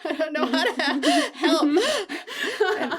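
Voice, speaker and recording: A woman's voice: high voice, big pitch swings, slow, a bit bright. A woman reminiscing casually as a guest, with a wry, conversational way of telling things. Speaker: author and guest.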